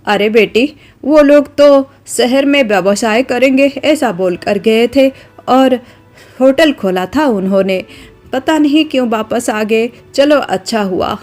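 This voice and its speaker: Woman voicing a kindly older mother-in-law. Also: fairly high pitch. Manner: gentle, soothing, advising.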